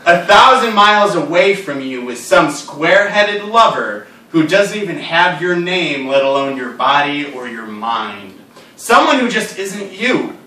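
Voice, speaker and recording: Slow, deadpan man reading aloud, echoey. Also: high voice. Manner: deadpan, wry, serious delivery of absurd lines. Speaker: writer reading his own work aloud. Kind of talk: live literary reading of stories and poems. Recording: roomy echo, distant mic.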